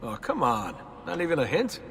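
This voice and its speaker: A man's voice: on the high side, wildly swooping pitch, normal speed, creaky, a bit bright, slight background noise, full character voice. A man playing a wry, questioning young everyman hero in a video game, speaking casually. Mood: wry doubt. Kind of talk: video game cutscene dialogue. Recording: music behind the voice.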